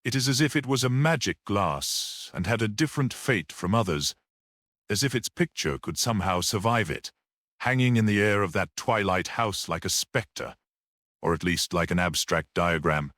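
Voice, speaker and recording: Storybook priest-detective, read by a man: mild, perceptive, unruffled, low-pitched with lively movement, dry close sound. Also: dry recording. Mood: unruffled monologue. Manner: grave, measured, unruffled, almost dreamy.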